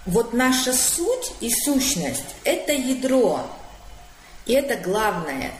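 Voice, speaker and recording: Slow-spoken woman, roomy echo. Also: mid-range voice, a little mellow. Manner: thinking aloud with fillers and restarts, emphatic lists. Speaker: coach hosting a live stream. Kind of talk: live stream talk, explaining psychology concepts. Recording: roomy echo with faint room noise.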